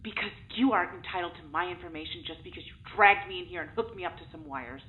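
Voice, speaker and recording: A woman's voice: on the low side, quick pace, lightly acted. Woman acting defiant test subject, curt and guarded, narrow phone-like audio. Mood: angry, defensive. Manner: curt, defensive. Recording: thin, phone-like intercom sound.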